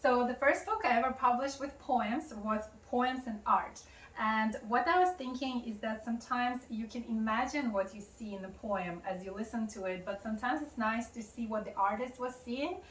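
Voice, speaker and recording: A woman's voice: a little high, moderate pace, fairly bright tone. Woman, Russian native speaker, chatty with fillers, echoey room, distant mic, faint hiss. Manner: chatty, explaining with many fillers. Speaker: interview guest, poet and artist. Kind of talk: casual interview. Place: home interior.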